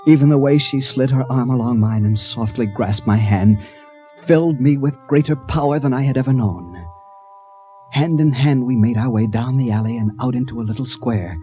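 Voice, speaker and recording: An actor with an American accent playing an infatuated adventurer in an old-time radio drama, earnest and halting. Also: male voice, medium pitch, warm tone, soft background music, close to an everyday voice. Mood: dreamy, haunted. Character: infatuated ship's captain turned brawler, recalling his wild adventure. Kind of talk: old-time radio drama acting with first-person narration.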